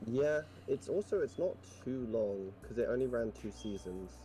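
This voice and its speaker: A man's voice: mid-range voice, animated, normal speed, dark tone, soft background music, rough recording. A man with a posh English accent chatting casually as a guest, rambling thoughtfully with many fillers. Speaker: podcast guest. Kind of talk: casual chat.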